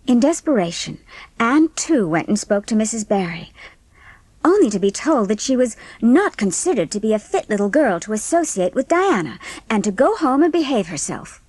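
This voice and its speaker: A woman narrator reading a scripted storybook in a measured, expressive way. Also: a little high, no echo.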